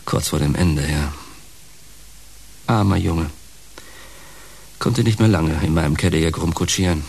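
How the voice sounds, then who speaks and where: Radio play: a brooding, heartbroken ex-star, gravelly and low, halting dramatic lines. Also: male voice, darkish tone, full character voice. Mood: wounded. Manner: halting, dramatic, emotionally laden delivery. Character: bitter, heartbroken fallen entertainer, male, wounded and brooding. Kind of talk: German radio play dialogue. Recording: clear background hiss.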